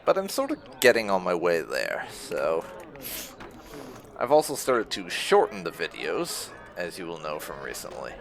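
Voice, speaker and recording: A man's voice: deep voice, huge pitch swings, unhurried, over loud music. A man hosting a let's play, giving casual, rambling gameplay commentary in an informal way. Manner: rambling, informal, with occasional swearing.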